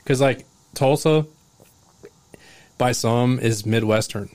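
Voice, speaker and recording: A man's voice: mid-range voice, slow pace, a little mellow, no echo. A man from Oklahoma with a mild Oklahoman accent, chatting casually and rambling with many fillers. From Oklahoma, USA. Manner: rambling, filler-heavy, laughs often. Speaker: conversation partner. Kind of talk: casual chat.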